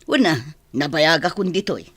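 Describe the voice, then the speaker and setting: A woman's voice: deep voice, normal speed, breathy edge, dry recording. A woman with an Ilocano accent playing a bossy, gossipy senior kitchen maid in a village comedy radio drama. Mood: gossipy, touchy.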